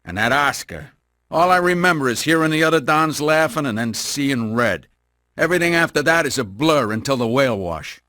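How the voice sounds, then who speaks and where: A man's voice: mid-range voice, lively intonation, moderate pace, sharp tone, no echo, clean studio sound. A man voicing a proud, hot-tempered shark crime boss and father in a video game monologue. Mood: indignant regret.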